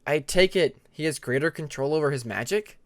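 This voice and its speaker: A man's voice: a little high, animated, fairly quick, fairly bright tone, no echo. A man voicing a polite, inquisitive young male dragon in a fantasy audiobook.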